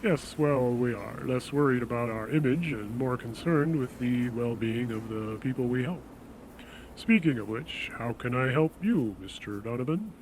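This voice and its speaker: Radio drama salesman, played by a man: medium-pitched male, courteous and persuasive, quietly reassuring about grim business, some noise behind. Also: close to an everyday voice, poor audio. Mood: polite, businesslike. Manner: measured, persuasive, politely solicitous. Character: smooth-talking, reassuring male death insurance salesman. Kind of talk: audio drama dialogue.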